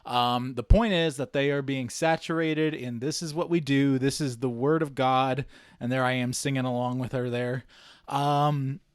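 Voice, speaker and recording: A man's voice: medium pitch, steady tone, normal speed, clear, resonant tone, dry recording, studio quality. A man narrating a casual screen-share vlog, informally thinking aloud as he works through tasks on the computer. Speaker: vlogger and video editor.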